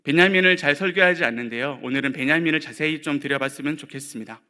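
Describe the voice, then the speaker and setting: A male pastor giving a sermon in a conversational way, with light humor and frequent pausing fillers. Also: a little high, pushed and bright.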